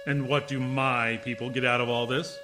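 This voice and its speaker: A man's voice: medium pitch, flat delivery, moderate pace, music in the background, natural voice. A man playing a suspicious, sardonic and defiant military commander in a science fiction radio play, impatient and dryly sarcastic. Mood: indignant.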